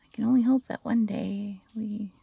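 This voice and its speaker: A woman playing a gentle, eager fox-hybrid former servant in an intimate roleplay audio drama, soft-spoken and earnest with a breathy, slightly husky voice. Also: medium pitch, fairly even intonation, moderate pace, no echo, highs cut off. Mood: wistful, reflective.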